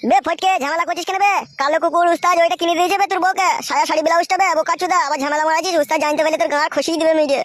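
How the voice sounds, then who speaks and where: A man's voice: very high-pitched, fairly even intonation, very fast, few pauses, fairly bright tone, a little hiss or hum. A man voicing a boastful young gang errand boy in a comic cartoon, crude and slangy. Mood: boastful menace.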